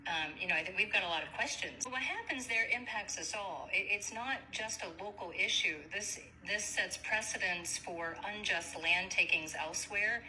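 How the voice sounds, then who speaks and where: A woman making an earnest, measured but pressing argument as a caller questioning an official in a phone conversation. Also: medium pitch, sharp tone. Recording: faint background noise on phone call recording.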